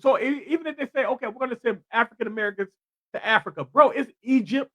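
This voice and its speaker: A man's voice: extremely high pitch, fast, a bit bright. A man hosting a casual panel debate, insistent, throwing out questions and repeating his points. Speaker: podcast panel host.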